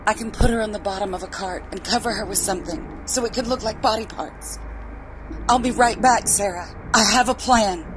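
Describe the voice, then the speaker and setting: A woman's voice: mid-range voice, brisk, natural voice. A woman playing a frightened but determined captive woman in a horror audio drama. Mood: hushed, determined. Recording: noisy background hum.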